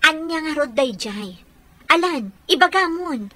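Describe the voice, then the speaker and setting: High bright young Ilocano fiancée. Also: female voice, lively intonation, unhurried, a little hiss or hum, heavily acted. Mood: suspicious, pressing. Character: insistent, caring young fiancée. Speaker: radio drama actor. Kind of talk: Ilocano radio drama acting.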